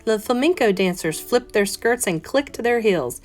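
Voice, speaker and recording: A woman, a teacher, reading a children's picture book aloud in an expressive, carefully phrased, dramatic manner. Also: fairly high pitch, unhurried. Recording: soft guitar music behind voice. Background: plucked guitar music.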